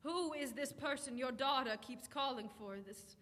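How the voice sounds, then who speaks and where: A woman playing a calm, polite, scholarly minister in a live radio drama, with a formal, measured manner. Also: high-pitched. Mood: calm, concerned. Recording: echoey auditorium.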